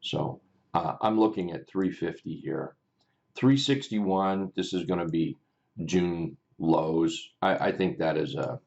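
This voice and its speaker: Low gravelly man with a raspy morning voice. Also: a little mellow, highs cut off. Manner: chatty, rambling, self-correcting, greets viewers by name. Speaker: stock market livestream host. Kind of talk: casual live chart commentary.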